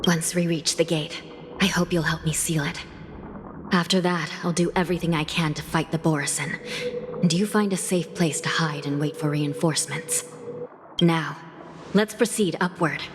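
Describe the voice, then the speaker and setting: A woman's voice: sits low, steady tone, moderate pace, noisy background, lightly acted. An actress playing a dutiful, solemn female judge and prison guardian in a video game, speaking formally and resolutely.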